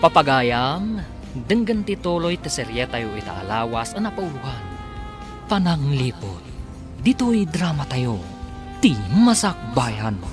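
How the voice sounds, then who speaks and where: A man announces a radio serial in a dramatic scripted manner. His mid-pitched voice swings widely over prominent background music. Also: big pitch swings, unhurried. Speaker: announcer and narrator.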